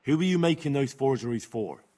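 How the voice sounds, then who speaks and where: An actor playing a dutiful young squire who speaks earnestly in a video game cutscene. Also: male voice, mid-range voice, lively intonation, fairly quick, mellow, close to an everyday voice.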